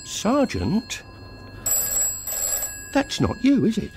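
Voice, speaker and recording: A pompous male detective inspector in a radio comedy, theatrical and wheedling. His fairly high voice swoops hugely, with faint noise behind it. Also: huge pitch swings, extremely slow, full character voice. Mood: blustering. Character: grandiose, broke art-fraud detective inspector boss. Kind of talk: British radio comedy drama acting.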